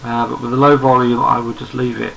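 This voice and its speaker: A man with a gravelly, croaky voice walks through trading charts. He is losing his voice and sounds hoarse. He speaks at a relaxed pace, thinking aloud and repeating himself as he goes. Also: medium pitch, fairly quick, a little hiss or hum, poor audio. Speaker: trading analyst. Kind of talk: screen-recorded market chart commentary.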